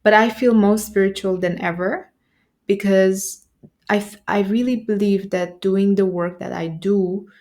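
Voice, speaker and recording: A woman's voice: on the low side, a little mellow. Romanian woman, reflective coach. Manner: reflective, hesitant, thoughtful. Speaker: nervous system coach. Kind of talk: podcast interview guest.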